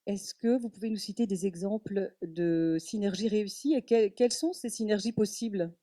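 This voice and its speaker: A woman's voice: mid-range voice, moderate pace, mellow, no echo, studio quality. A woman moderating a panel, thanking a speaker and putting brief, orderly questions to the next guest.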